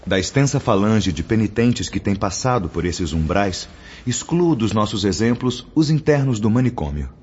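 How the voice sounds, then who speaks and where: Deep-voiced, formal spirit mentor. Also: male voice, expressive, a little mellow, husky. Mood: instructive, grave. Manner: formal, didactic, measured. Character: calm, authoritative spirit mentor, a young-looking man. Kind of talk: radio drama acting, formal instructive speech. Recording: dull lo-fi audio. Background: background music.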